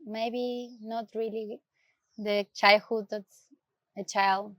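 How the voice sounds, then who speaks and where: Soft-spoken Ecuadorian woman, hesitant. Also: medium pitch, level tone, takes it slowly, no echo, low-quality recording. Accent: Ecuadorian Spanish. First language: Spanish. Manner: soft, hesitant, searching for words. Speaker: guest. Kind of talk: personal story in an interview.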